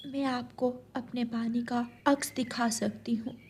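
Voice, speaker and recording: A talking river from a children's cartoon, voiced by a woman. It speaks softly and gently in a high, measured voice. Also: little pitch movement, breathy edge. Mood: gentle.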